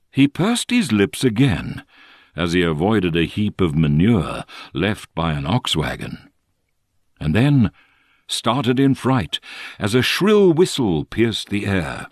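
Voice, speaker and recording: Deep-voiced man narrating a novel expressively. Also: no echo, clean studio sound. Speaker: audiobook narrator. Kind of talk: scripted literary narration.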